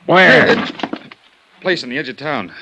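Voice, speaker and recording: An American man acting a gruff deputy henchman in an old-time radio crime drama, terse and halting under pressure. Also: medium pitch, expressive, background noise. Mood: nervous, evasive. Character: gruff male deputy henchman, turning nervous. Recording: vintage radio recording, faint music.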